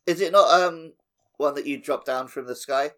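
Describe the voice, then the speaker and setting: A man's voice: a little high, moderate pace, a bit bright. A man co-hosting a casual gaming podcast, talking in a loose, joking, informal way. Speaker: podcast co-host.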